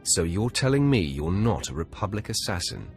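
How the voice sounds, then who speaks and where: Very deep cool young Sith acolyte. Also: male voice, big pitch swings, normal speed, soft background music. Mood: cool, decisive. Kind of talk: video game cutscene acting.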